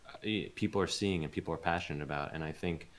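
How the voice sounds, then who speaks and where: A man speaking as an interviewed voice actor, chatting casually in a rambling, informal way and pausing to think. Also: extremely low pitch. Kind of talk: casual chat in a livestreamed interview.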